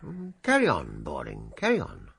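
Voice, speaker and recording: Dry, swooping English gentleman investigator. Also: very deep voice, wildly swooping pitch, moderate pace, vocal fry, very noisy, full character voice. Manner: dry, formal, pointed. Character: dry, authoritative upper-class English senior investigator. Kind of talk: 1920s country-house radio mystery acting.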